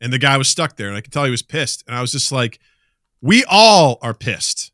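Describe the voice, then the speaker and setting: A man's voice: mid-range voice, very fast, sharp tone, dry recording, clean studio sound. A middle-aged American man co-hosting a podcast, chatting casually and comedically in an exasperated, profane rant. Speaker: podcast co-host.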